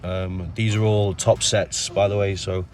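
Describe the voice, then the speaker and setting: Low, flat-voiced man with a nasal cold. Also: measured pace, slight background noise. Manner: relaxed, many ums, explains step by step. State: has a cold. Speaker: bodybuilder narrating his workout. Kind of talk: casual voice-over commentary.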